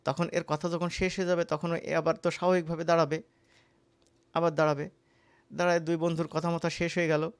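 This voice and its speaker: A man with a Bangladeshi accent giving a casual, conversational software tutorial as an instructor, often checking in with the listener. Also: a little high, flat delivery, a little fast, clear, resonant tone. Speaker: animation course instructor.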